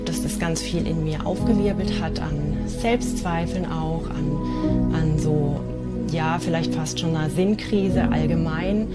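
A woman giving a thoughtful personal testimony, hesitant and full of small pauses. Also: on the low side. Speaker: testimony speaker. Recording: over loud instrumental music.

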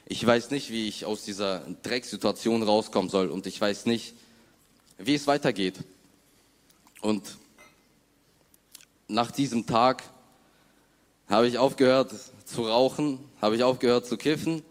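A young man giving an unscripted personal testimony in informal, halting words. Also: mid-range voice. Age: young adult. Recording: long hall reverb.

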